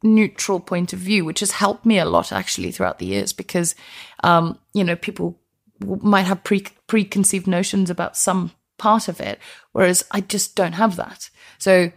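An Icelandic woman talks conversationally as an interview guest. Her voice sits a little low, with plenty of creak. She explains things in a chatty, enthusiastic and reflective way. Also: lively intonation, moderate pace. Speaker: interview guest, transport historian.

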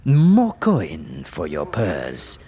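A man acts a grave, terse man of a fantasy order for an audio drama. His voice is very deep and slow, with wide dips and rises. His phrasing is strange and archaic. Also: wildly swooping pitch, mellow, exaggerated voice. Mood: grave, approving. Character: grave, terse male fantasy faction member.

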